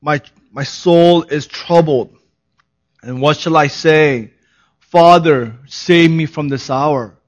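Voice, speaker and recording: A man who is a pastor giving a sermon, hoarse in his voice, moving from a laughing, relaxed opening into earnest, solemn preaching. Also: a little high, takes it slowly, dull audio.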